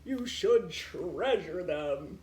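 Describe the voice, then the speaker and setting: A woman voicing a kind old male porcupine uncle from a storybook, speaking slowly and with emphasis. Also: fairly low pitch, expressive, warm tone. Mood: kindly, emphatic. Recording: roomy echo, clear background noise.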